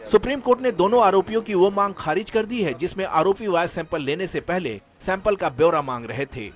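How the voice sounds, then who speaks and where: A man's voice: sits high, lively intonation, a little fast. A man narrating a scripted news report as a reporter. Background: background music.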